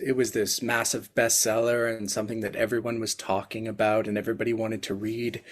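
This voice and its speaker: Low-voiced man musing with many ums. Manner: hesitant, many fillers, thoughtful. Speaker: guest, literary reviewer. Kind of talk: casual chat.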